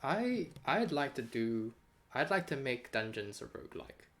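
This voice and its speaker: A man's voice: mid-range voice, very animated, unhurried, fairly bright tone. A man chatting casually and unscripted on a game development livestream, rambling as he thinks aloud and swearing freely.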